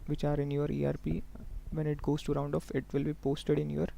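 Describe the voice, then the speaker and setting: A man working as a software trainer, giving a step-by-step live tutorial in an instructional way, often prompting listeners to follow along. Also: a little high, completely flat delivery, brisk, creaky, slight background noise. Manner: instructional, often checking in with okay and look here.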